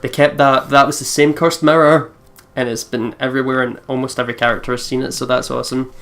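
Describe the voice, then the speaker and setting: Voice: mid-range voice, level tone, fast talker, fairly bright tone. A person co-hosting a let's play with casual, chatty gaming commentary.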